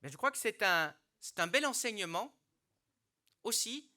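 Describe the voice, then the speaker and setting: A middle-aged man delivers a formal address with a very bright, forceful edge to his voice. His pitch sits a touch high for a man. He speaks earnestly and deliberately, often restarting a phrase before pressing on to the key word. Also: expressive. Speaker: mayor giving a New Year speech. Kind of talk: formal public speech with hesitations. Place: hospital hall.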